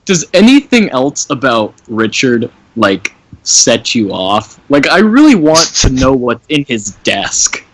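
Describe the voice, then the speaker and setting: A man talks casually as a tabletop gamer. His pitch jumps around a lot in a raspy voice, picked up close and dry. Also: big pitch swings, slow, no echo, poor audio. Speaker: tabletop game player. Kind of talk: casual chat.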